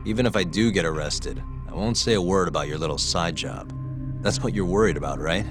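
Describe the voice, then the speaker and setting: A man's voice: low voice, brisk, music in the background. A man playing a cool, confident detective, speaking with casual wryness in a video game cutscene. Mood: wry, probing. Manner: wry, casual. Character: cool, wry, confident male detective under suspicion.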